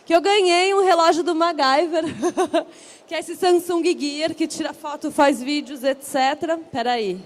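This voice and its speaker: A woman with a São Paulo accent hosts a panel on stage, her voice sitting high. She talks in an upbeat, chatty way and keeps the audience involved. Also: measured pace. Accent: São Paulo Portuguese. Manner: upbeat, chatty, rallies the crowd. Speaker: event host. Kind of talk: live stage hosting. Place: stage at a large event.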